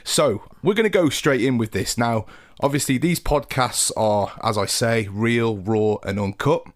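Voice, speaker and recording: A man's voice: medium pitch, measured pace. A man hosting a podcast, upbeat and chatty, calling his guest mate. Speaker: podcast host.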